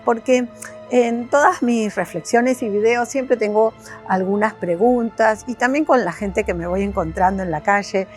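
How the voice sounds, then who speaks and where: A woman with a Buenos Aires accent hosts as a life coach, chatting casually and directly to the viewer in a rambling way with questions thrown in. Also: medium pitch. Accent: Argentine, Buenos Aires. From Argentina, Buenos Aires. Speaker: life coach and video host. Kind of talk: casual chat to camera.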